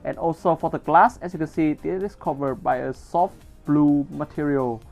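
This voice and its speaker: A man's voice: fairly high pitch, normal speed, gravelly, darkish tone, faint music behind. A man giving a casual product demonstration as a toy reviewer, explaining things step by step.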